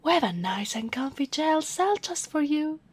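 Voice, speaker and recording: A woman from Italy playing a smug, flirtatious sheriff in an ASMR roleplay, with sultry, teasing delivery that drops into breathy whispers. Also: high-pitched, big pitch swings, normal speed. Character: teasing, smug, flirtatious female sheriff gloating over captive. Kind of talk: ASMR roleplay, scripted acting.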